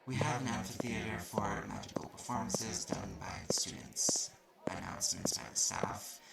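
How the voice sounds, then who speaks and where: Man acting a haughty, gravelly male student guide. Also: fairly low pitch, echoey room. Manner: condescending, curt, explanatory. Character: haughty, mildly mean male magic-university student guide. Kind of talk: audio roleplay acting addressed to the listener. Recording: noisy recording with clicks.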